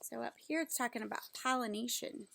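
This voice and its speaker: A woman reading a children's book aloud like a teacher, pausing to explain things simply and ask questions. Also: fairly high pitch, vocal fry, bright, forceful tone, slight background noise.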